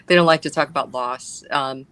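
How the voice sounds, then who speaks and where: A woman's voice: low-pitched, a bit bright, smooth. A woman chaplain and pastor answering interview questions over a video call, thoughtful, with frequent fillers. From born in South Korea, raised in Chicago. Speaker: guest, hospice chaplain and pastor.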